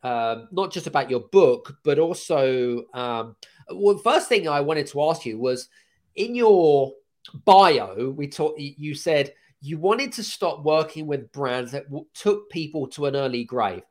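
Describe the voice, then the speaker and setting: A man hosting a podcast with a croaky voice, energetic and rambling, with many fillers and restarts. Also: on the high side, measured pace. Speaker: podcast host. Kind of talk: casual chat.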